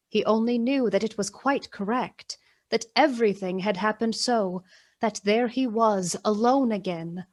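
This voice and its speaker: A woman narrating a literary radio play in a measured, dramatic and deliberate way. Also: medium pitch, close to an everyday voice.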